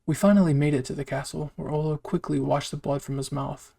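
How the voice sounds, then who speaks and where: A man's voice: on the high side, fairly even intonation, fairly quick, vocal fry, mellow. A man reading a scripted first-person story aloud as a narrator. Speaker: fan fiction narrator.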